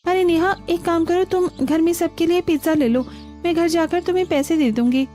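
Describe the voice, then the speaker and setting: Woman as a high-pitched, coaxing young mother. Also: steady tone, moderate pace, mellow, faint music behind. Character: coaxing young mother calming her child. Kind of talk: Hindi cartoon dialogue.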